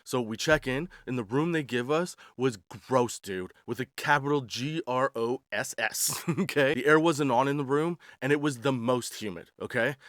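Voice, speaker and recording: A man's voice: medium pitch, fairly bright tone, no echo, studio quality. A man in his forties hosting a podcast, telling personal stories in a wry, slangy, self-deprecating comedic style. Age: 40s. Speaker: podcast host. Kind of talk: comedic personal storytelling monologue.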